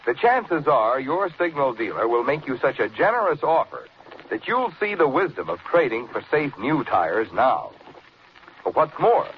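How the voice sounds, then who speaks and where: A man working as a radio announcer, delivering a 1940s-style advertising pitch. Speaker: radio announcer reading sponsor message. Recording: muffled, faintly noisy old radio recording.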